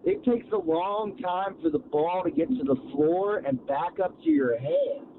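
A man's voice: high-pitched, expressive, a little slow. A middle-aged man from Ohio calling into a radio show, chatting in a folksy, self-deprecating way. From Ohio, USA. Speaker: caller. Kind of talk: phone-in chat. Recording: tinny phone line with faint hiss.

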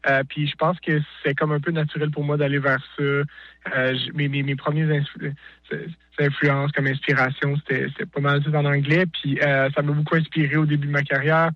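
A Québécois man speaks as an interview guest, his slightly high voice stumbling through restarts and fillers. The line is dry and dull, with the top end muffled. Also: level tone, brisk, no room sound. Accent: Québécois French. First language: French. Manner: hesitant, many restarts and fillers. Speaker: singer-songwriter guest. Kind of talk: casual interview.

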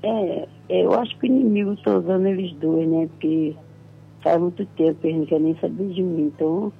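A woman's voice: on the low side, fast talker, creaky, slightly rough. A middle-aged woman calling a radio show, hesitant and pleading, repeating herself as she speaks. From Rio de Janeiro state, Brazil. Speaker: caller. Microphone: phone call. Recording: tinny phone line with music behind.

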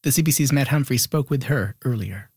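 A man reading a scripted news introduction as anchor, with a steady, measured delivery. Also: mid-range voice, a little hiss or hum.

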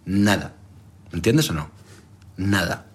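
A man's voice: low-pitched, animated, takes it slowly, slightly rough. A man acting as a comic co-host on a radio show, teasing and sarcastic with theatrical flourishes. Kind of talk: joking radio banter.

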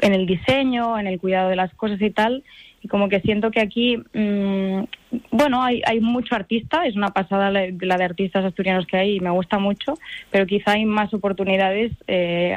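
A woman's voice: medium pitch, fairly even intonation, moderate pace, dry recording. A young woman in her twenties from Spain talks through a radio interview, chatty and hesitant, circling back with fillers and restarts. The sound is dry and a bit muffled, close to the microphone. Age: 20s. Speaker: guest artist.

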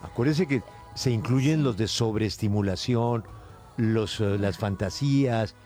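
A man hosting a morning talk show, bantering quickly and teasing his co-hosts. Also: on the low side, dark tone, soft background music. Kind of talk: brisk, joking studio banter.

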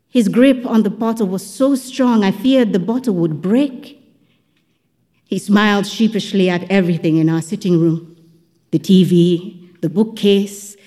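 A woman's voice: medium pitch, warm tone, big-room sound. A woman reading a first-person literary story as a scripted narration. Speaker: audiobook narrator.

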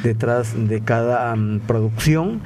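A man's voice: medium pitch, takes it slowly, noisy background. A man hosting a casual interview, talking in a rambling way with many hesitations and fillers. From not from Argentina. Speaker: radio host interviewing guests.

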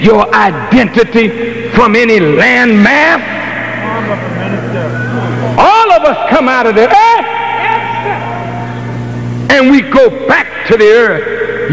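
Male preacher, somewhat high voice, unhurried, fierce, recorded with a big hall echo. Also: gravelly. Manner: impassioned, scolding, rising to shouts. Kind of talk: fiery sermon with call and response. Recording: long hall reverb, steady hum.

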